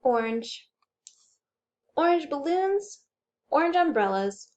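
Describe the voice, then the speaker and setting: Very animated, slow-paced woman hosting children's storytime. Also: high voice, muffled recording. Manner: animated, exaggerated, addressing young children. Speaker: children's librarian storytime host. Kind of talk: children's storytime read-aloud, singsong rhymes.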